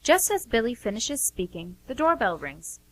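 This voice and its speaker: A woman's voice: on the high side, sing-song, moderate pace, no echo. A woman narrating a scripted story as co-host of a radio theatre show.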